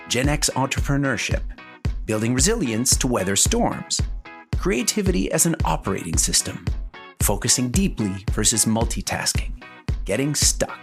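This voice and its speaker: Animated, low-pitched middle-aged male interview host. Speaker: podcast host. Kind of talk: interview show hosting.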